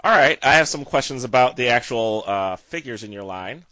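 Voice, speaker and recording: A man's voice: medium pitch, lively intonation, moderate pace, bright, forceful tone, dry recording, lo-fi sound. A man hosting an interview, asking questions in a casual, conversational way. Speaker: podcast interviewer.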